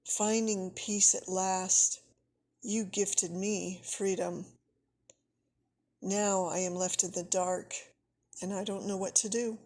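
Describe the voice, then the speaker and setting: A woman giving a solemn poetry reading in short, measured phrases. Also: medium pitch, steady tone, a little mellow. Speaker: poet.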